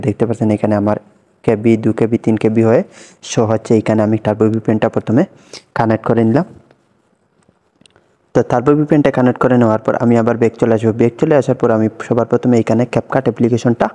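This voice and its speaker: A young man walking viewers through an app tutorial step by step, repeating each action as he goes. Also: mid-range voice. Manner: steady step-by-step instructions, much repetition. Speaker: tutorial host. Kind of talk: screen-recorded app tutorial.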